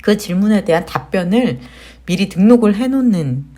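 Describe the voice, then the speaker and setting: A woman hosting a casual step-by-step software tutorial, explaining conversationally and putting questions to her viewers. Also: medium pitch, animated, moderate pace. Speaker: tutorial host, digital marketer.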